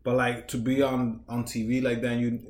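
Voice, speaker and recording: Male podcast co-host, rambling casual talker, medium pitch, roomy recording. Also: completely flat delivery, normal speed, darkish tone. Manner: rambling, lots of "like" fillers. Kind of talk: casual chat.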